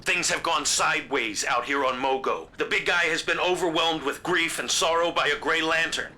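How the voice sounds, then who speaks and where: A cocky space-cop hero with a piercingly bright, forceful, fairly high voice. He talks bluntly and with swagger, video game style, over faint background noise. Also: moderate pace. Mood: cocky, urgent. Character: brash, cocky adult male space-cop superhero.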